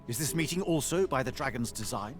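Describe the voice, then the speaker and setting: An actor playing a weary, solemn former hero in a fantasy video game, with grave, archaic, measured delivery. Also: male voice, medium pitch, lively intonation, soft background music, natural voice. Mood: grave, resigned. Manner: grave, archaic, measured storytelling with sighing line endings. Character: weary, solemn former hero, husk of a fallen chosen one.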